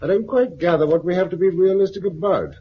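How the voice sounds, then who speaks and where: An actor with a British accent playing a wary, stubborn community leader in a 1950s radio drama, guarded, firm and dry. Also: male voice, high voice, fast, lightly acted. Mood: firm, resistant. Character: wary, stubborn husband, organizer of a farm community. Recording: muffled, hissy 1950s radio recording.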